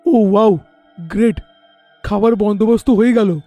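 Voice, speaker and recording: A man playing a cheerful, curious city traveller in an animated horror story, enthusiastic and given to English exclamations. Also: sits high, normal speed, no room sound, soft background music. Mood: delighted.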